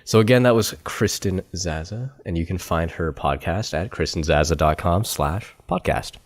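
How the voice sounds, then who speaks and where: A man hosting a podcast, chatting in a relaxed, upbeat way with his guest and wrapping up the show. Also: sits low, normal speed, no room sound, studio quality. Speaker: podcast host. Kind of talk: casual interview and outro.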